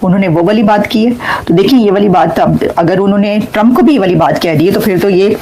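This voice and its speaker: A woman's voice: medium pitch, quick pace, crowd noise, rough recording. A woman speaking as a news presenter, reporting and discussing current affairs.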